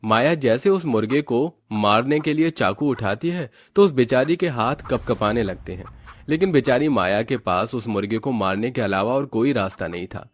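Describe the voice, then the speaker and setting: A man's voice: mid-range voice, expressive, moderate pace, slight background noise. A man with an Indian accent giving scripted narration for a cartoon story.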